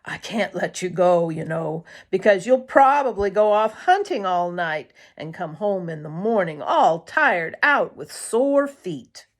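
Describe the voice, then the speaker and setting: A woman's voice: on the low side, sing-song, unhurried, few pauses. A woman voicing a farm boy in a storybook, talking fondly and coaxingly to his dog. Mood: reassuring.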